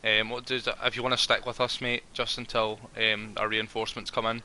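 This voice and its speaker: A man's voice: lowish voice, little pitch movement, a little fast, pushed and bright, a little hiss or hum. A man chatting in character as a ranger in a roleplay, friendly and rambling, full of ums and restarts.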